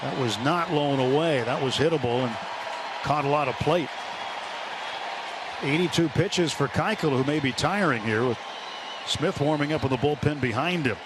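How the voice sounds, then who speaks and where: Higher-voiced American baseball announcer, noisy ballpark behind him. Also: male voice, normal speed, long pauses. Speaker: baseball radio play-by-play announcer. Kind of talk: live baseball commentary. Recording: radio broadcast over clear stadium noise. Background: ballpark ambience and crowd noise.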